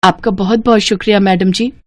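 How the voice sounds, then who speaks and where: A woman's voice: mid-range voice, fairly even intonation, brisk, close to an everyday voice. A woman voicing a humble, poor working mother and maid in a moral story, deferential and caring.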